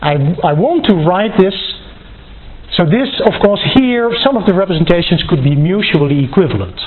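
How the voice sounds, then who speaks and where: A man giving a mathematics lecture, working step by step in a halting, self-correcting way. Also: high voice, lively intonation. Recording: thin phone-like band, clearly noisy, clicks.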